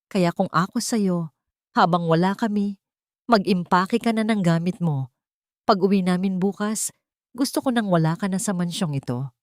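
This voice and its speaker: A woman's voice: on the low side, moderate pace, long pauses, darkish tone, no echo, studio quality, close to an everyday voice. A woman voicing an arrogant, contemptuous military husband in a dramatic story, boastful and dismissive. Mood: gloating, cruel.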